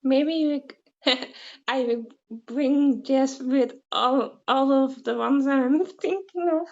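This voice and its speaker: High-pitched, very slow-talking woman. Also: muffled recording. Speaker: interviewer. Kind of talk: casual interview chat.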